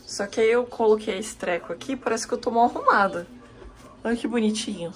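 A woman's voice: a little high, brisk. A woman in her thirties chatting casually to viewers while filming herself in a hotel room. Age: 30s. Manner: chatty, exclaiming, addresses viewers. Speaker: vlogger. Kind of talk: casual vlog chat to camera. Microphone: handheld camera.

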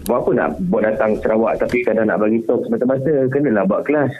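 A man's voice: lowish voice, fast, breathy edge. A Malaysian man talking casually and bluntly over a phone call, explaining insistently and mixing in English words. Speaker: YouTuber and workshop trainer. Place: on a phone call, broadcast on radio. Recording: muffled narrow phone line from radio.

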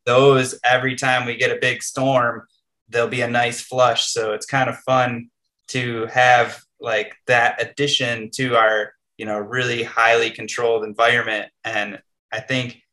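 A man in his thirties hosts a video call from a room at home. His voice has a bright, forceful edge but stays fairly flat in pitch, and he talks in an easygoing way, full of "um" and "you know". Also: a little slow, low-quality recording. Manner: easygoing, filler-heavy. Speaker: mushroom farm host. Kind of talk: casual video call chat.

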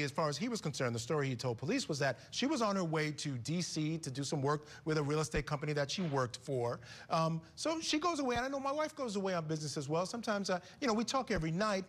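A middle-aged man hosting a TV comment segment in a studio, talking with an animated, conversational manner on a lapel mic. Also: a little high. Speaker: TV news host.

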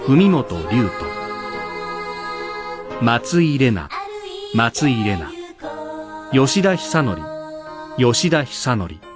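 An announcer reading out scripted radio drama credits. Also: mid-range voice.

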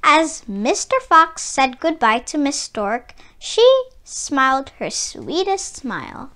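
A woman narrating a children's storybook aloud in an expressive read-aloud style. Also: high voice, takes it slowly.

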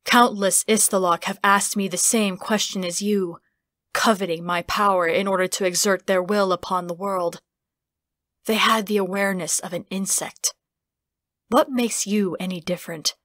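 A woman's voice: mid-range voice, fairly even intonation, moderate pace, fairly bright tone, dry recording, studio quality, lightly acted. A woman voicing an ancient, godlike spirit in a fantasy audiobook, imperious, weary and scornful. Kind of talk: fantasy audiobook character acting.

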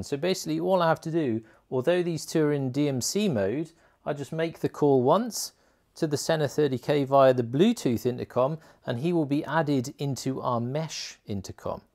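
A man's voice: on the high side, darkish tone. A man hosting a motorcycle gear video, walking through an informal product tutorial in a chatty, colloquial way.

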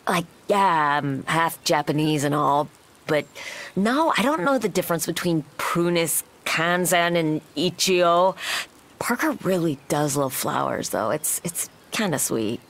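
Lowish, slow, dry, reserved young butcher, video game dialogue. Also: female voice, expressive. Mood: wry. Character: dry, guarded young butcher, nonbinary, shyly soft-hearted.

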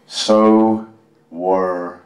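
A man with a fairly low voice reads a storybook aloud in a roomy, echoing space, picked up from a distance. He is casual and folksy, wandering off into chatty asides to his listeners. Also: fairly even intonation, drawn-out words, darkish tone. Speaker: guest storyteller, tribute performer. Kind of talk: reading a picture book aloud with casual ad-libbed commentary. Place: public library reading event. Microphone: handheld microphone. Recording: roomy, echoey, distant microphone pickup.

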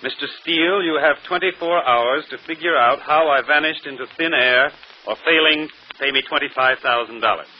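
An actor playing a calm, clever detective, measured and polite, in a 1940s radio mystery. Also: male voice, fairly high pitch, close to an everyday voice. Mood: quietly confident. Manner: measured, polite, quietly confident. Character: calm, clever amateur detective, adult son. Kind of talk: 1940s radio drama acting. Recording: dull old radio broadcast, faint noise.

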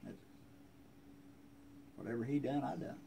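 Elderly man, rural American accent, low mellow gravelly voice, folksy storytelling, faint outdoor noise. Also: unhurried. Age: 70s. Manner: folksy, plainspoken. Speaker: interviewee telling family history. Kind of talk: casual reminiscing. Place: outdoors on a covered wooden porch. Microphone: handheld phone a few feet away.

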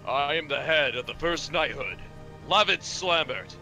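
A man's voice: on the high side, lively intonation, a little slow, pushed and bright, faint music behind, heavily acted. A man voicing a loyal knight commander from a fantasy video game, speaking in formal declarations. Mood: proud, dutiful. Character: loyal knight commander reporting to his king.

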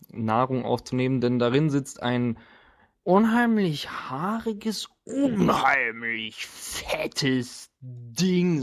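A man acting as game master, narrating an improvised tabletop game in a playful, halting, chatty way. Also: mid-range voice. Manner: playful, joking, halting descriptions.

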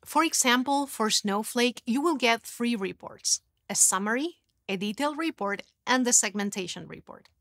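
A woman's voice: on the high side, normal speed, few pauses, dry recording. Woman presenting a scripted tech lesson. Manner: clear, even, instructive delivery. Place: studio. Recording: dry studio sound.

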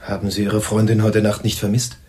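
Male actor as police sergeant, fairly low voice, curt, direct, crime radio play. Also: monotone, a little fast, darkish tone, lightly acted. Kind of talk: German crime radio drama acting.

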